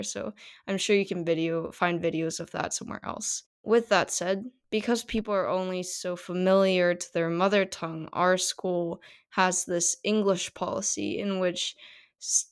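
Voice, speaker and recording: Teenage woman hosting a podcast. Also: fairly low pitch. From South Korea. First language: Korean. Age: teens. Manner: chatty, many fillers. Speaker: podcast host. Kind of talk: casual solo podcast monologue.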